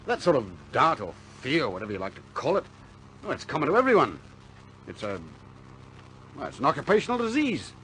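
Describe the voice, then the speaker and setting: A man's voice: fairly high pitch, huge pitch swings, a little fast, long pauses, fairly bright tone, a little hiss or hum, rough recording. An actor playing a plucky, stubborn young RAF bomber airman in a 1950s British war film, blunt and informal in manner. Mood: firm, reassuring.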